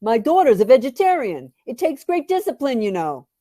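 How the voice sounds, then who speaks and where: A woman's voice: high-pitched, big pitch swings, moderate pace, dark tone, no echo, clean studio sound, voice pushed up. A woman voicing an emphatic, boastful, long-suffering father in a memoir story. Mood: gloating. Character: long-suffering, gloating dad.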